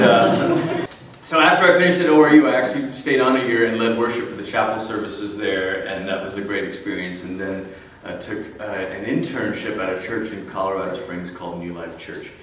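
A man's voice: on the low side, a bit bright, a little hiss or hum. A man from Malaysia in his thirties giving a personal testimony talk, conversational and full of fillers and restarts. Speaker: guest speaker. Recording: echoey hall from a distance.

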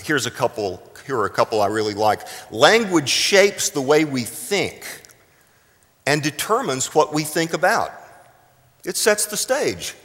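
American preacher, animated, in an echoing hall. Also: male voice, a little high. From South Texas, United States. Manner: deliberate, with dramatic emphasis and pauses. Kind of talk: sermon. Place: large reverberant room, speaking to a congregation. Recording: echoing hall with long reverb.

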